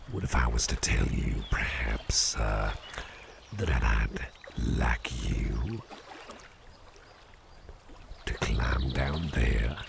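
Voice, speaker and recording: A man playing a calm, caring, playful businessman boss in a slow, intimate ASMR roleplay, speaking in a soothing, gravelly, very deep murmur. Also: noisy background, full character voice. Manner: soothing, unhurried, repeats short phrases.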